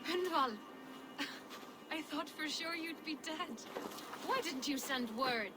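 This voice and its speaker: A woman's voice: high voice, lively intonation, slow, over loud music. An actress playing a fierce, loving young Saxon noblewoman in a game cutscene, poised and resolute. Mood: relieved. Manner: poised, affectionate but resolute. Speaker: video game supporting character. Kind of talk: dramatic game cutscene acting.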